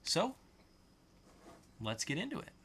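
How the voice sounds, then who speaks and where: A man's voice: a little high, huge pitch swings, takes it slowly, background noise. A man hosting a web show segment in a casual, jokey presenting style. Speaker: segment host.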